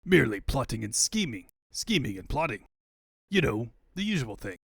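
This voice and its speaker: A man's voice: mid-range voice, sing-song, moderate pace, creaky, a bit bright, husky, dry recording, clean studio sound, voice pushed down, heavily acted. A man voicing a grandiose, theatrical villain scientist in a comic book fandub, easily exasperated. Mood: scheming, flustered.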